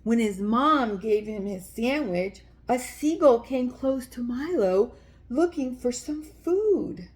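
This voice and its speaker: A woman's voice: a little high, lively intonation, takes it slowly, a little mellow. A woman hosting a children's story time, reading aloud and speaking directly to young listeners in simple, explanatory language.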